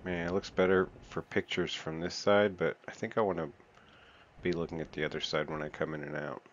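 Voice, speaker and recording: A man with a deep, low voice gives relaxed let's-play commentary, counting and muttering to himself as he works. The recording is a little dull and muffled. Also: fairly quick, a little hiss or hum. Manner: counts and mutters while building, self-talk. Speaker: gaming channel host.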